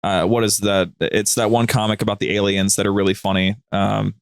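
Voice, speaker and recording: A man's voice: lowish voice, flat delivery, fairly quick, vocal fry, smooth, dry recording, studio quality. A man chatting casually as a podcast guest, telling stories in an informal, rambling, slangy way. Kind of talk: casual, anecdotal chat.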